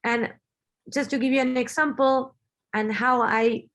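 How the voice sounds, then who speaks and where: Woman speaking haltingly. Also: high-pitched, steady tone, fairly bright tone. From Argentina. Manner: earnest, halting, many restarts and fillers. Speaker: webinar speaker. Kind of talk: online presentation.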